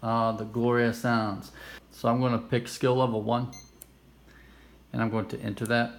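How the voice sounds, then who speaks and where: A man's voice: mid-range voice, flat delivery, normal speed. A man hosting a casual hands-on game demonstration, explaining things informally with a self-amused, nerdy enthusiasm. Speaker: host of a retro game play-through video. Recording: clearly noisy amateur recording.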